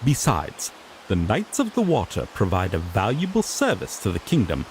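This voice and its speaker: A man's voice: fairly low pitch, huge pitch swings, moderate pace, a little hiss or hum, low-quality recording. A man playing a sassy griffon knight in a fantasy audio drama, an earnest rookie lawman who explains things formally with mild exasperation. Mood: proud, explanatory.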